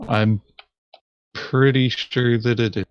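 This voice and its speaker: A man talking casually over a board game in a low-key, matter-of-fact, slightly hesitant way. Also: fairly even intonation, slow, clear, resonant tone, dry recording, dull audio. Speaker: board game player on a playthrough video. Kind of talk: casual chat while playing a board game.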